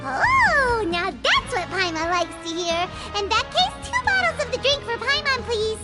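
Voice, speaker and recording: Paimon, a squeaky, excitable tiny fairy. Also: female voice, a little slow, exaggerated voice. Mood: pleased, eager. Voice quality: squeaky, childlike. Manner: speaks of self in third person, exclamatory. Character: Paimon, tiny excitable childlike floating fairy companion, female. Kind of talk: video game character acting. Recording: music playing behind the voice. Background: game music.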